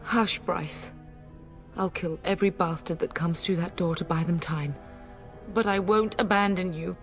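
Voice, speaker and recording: A woman's voice: fairly low pitch, fairly even intonation, a little slow, faint music behind, lightly acted. An actress playing a middle-aged noble mother in a video game, fierce, devoted and urgent, with emotional delivery. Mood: resolute, tender. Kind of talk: dramatic video game acting.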